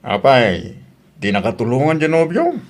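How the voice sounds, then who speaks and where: A man's voice: medium pitch, wildly swooping pitch, moderate pace. A man with an Ilocano accent playing an inquisitive, easygoing older landlord in an audio drama. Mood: curious, probing.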